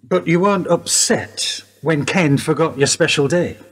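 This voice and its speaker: An actor playing a sympathetic, measured police detective in a radio play. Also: male voice, a little high, fairly bright tone, slightly rough. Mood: probing. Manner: measured questioning. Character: sympathetic male police detective questioning a widow. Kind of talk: radio drama dialogue.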